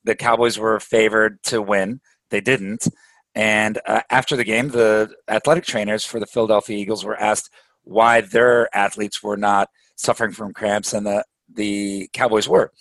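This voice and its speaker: Low-voiced man answering interview questions. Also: normal speed, no echo, rough recording. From Belgium. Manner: relaxed, explanatory, with frequent filler words. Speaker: podcast guest, sales and marketing executive.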